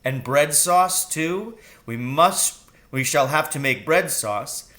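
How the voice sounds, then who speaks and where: A man's voice: on the high side, lively intonation, takes it slowly, sharp tone, heavily acted. A man voicing an exuberant, boastful, loving father in a children's story, with grand, emphatic declarations. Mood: grandly enthusiastic.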